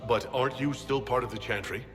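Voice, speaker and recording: An actor playing a wary, skeptical reluctant hero in a video game, questioning and challenging those around him. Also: male voice, mid-range voice, a little fast, lightly acted. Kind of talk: dramatic video game acting. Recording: long hall reverb, music underneath.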